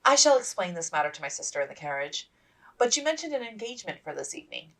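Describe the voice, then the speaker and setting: A woman's voice: medium pitch, sing-song, quick pace, fairly bright tone, recorded from a distance, voice pushed up, low-quality recording. A woman voicing a sensible, protective young elder sister in a storybook, proper and questioning. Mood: firm protest.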